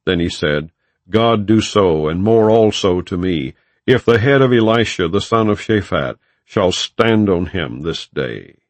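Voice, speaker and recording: A man narrating an audiobook of scripture in a solemn, measured, formal, declamatory way. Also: low-pitched, expressive, no echo.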